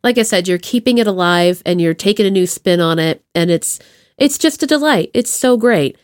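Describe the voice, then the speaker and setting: A woman's voice: medium pitch, expressive, moderate pace. A woman hosting a casual podcast interview with an effusive, playful way of talking. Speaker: podcast host.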